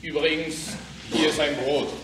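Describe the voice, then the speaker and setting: A man's voice: a little high, fairly even intonation, normal speed, natural voice. An actor playing a cheeky, indignant working man in a German radio play, blunt and urgent. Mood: blunt urging. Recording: roomy, distant, noisy.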